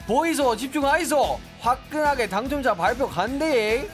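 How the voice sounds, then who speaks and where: Brash, swaggering Busan local, hammed up by a male host, put-on dialect, high and animated. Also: moderate pace. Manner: loud, bossy, swaggering. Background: faint background music.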